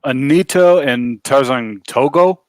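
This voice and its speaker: A man's voice: medium pitch, takes it slowly. A man co-hosting a casual wrestling review, blunt and sweary with short interjections. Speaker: co-host.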